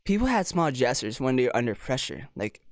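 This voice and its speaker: A man's voice: mid-range voice, sing-song, fairly quick, no echo, studio quality. A man doing casual playthrough commentary on a visual novel, reading it aloud and reacting informally with amused disbelief. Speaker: streamer. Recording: music playing behind the voice.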